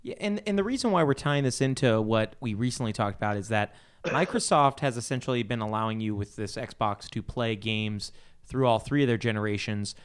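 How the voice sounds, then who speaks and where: Guy hosting a gaming podcast, smooth clear voice, just chatting. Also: on the low side, brisk. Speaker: podcast host. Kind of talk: casual panel chat about video games.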